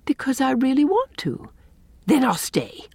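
An eager young wife with a gentle, earnest way of speaking, played by a woman storybook reader. Her intonation moves freely and she sounds warm and impulsive. The recording is close and dry. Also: high-pitched, wildly swooping pitch, measured pace, vocal fry, breathy edge, no room sound, pitched above the performer's own voice, heavily acted.

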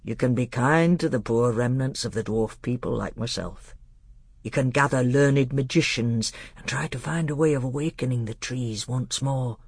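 A narrator voicing a solemn old half-dwarf tutor, small and stout, speaking in a grave, deliberate, heartfelt way for a storybook. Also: medium pitch, slightly rough, no room sound, clean studio sound. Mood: urging.

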